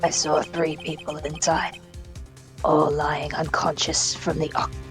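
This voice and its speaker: Vengeful male prosecutor villain for courtroom game dub, played by a woman: deep, deliberate, menacing, faint music behind. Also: completely flat delivery. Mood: brooding, gloating. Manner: theatrical, menacing, deliberate delivery. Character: vengeful, arrogant, aging male prosecutor villain confessing murder.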